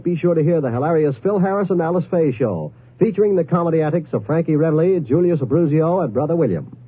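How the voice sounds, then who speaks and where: A man's voice: a little high, husky. A man working as a network radio announcer, reading promos in a 1950s broadcast style. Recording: narrow-band vintage radio broadcast.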